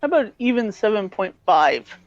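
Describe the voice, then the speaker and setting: A woman's voice: mid-range voice, big pitch swings, moderate pace, no echo. A woman co-hosting a casual podcast, mostly giving short, easygoing replies. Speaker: podcast co-host. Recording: muffled, lo-fi, dry.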